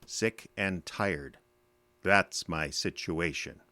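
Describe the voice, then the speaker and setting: A belligerent, embittered male ex-soldier in an audio drama. His voice is deep and closely recorded with no room sound. He talks gruffly and colloquially, with a suspicious, sarcastic edge. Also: very slow, sharp tone, no room sound, exaggerated voice. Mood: bitter.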